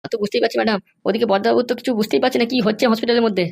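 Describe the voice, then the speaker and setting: Nervous servant sidekick woman doing it for a cartoon, worried n obedient, gravelly edge, talks super fast. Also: a little high, fairly even intonation, darkish tone. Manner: deferential, worried, questioning. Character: anxious, obedient accomplice serving a scheming madam. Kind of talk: comedy cartoon dialogue.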